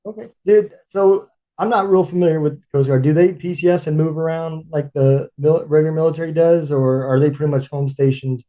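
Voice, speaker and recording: Male host, warm-toned, casual and chatty, plenty of fillers. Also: fairly high pitch, brisk, few pauses, rough recording. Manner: relaxed, chatty, with many fillers. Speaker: podcast host. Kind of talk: casual interview chat.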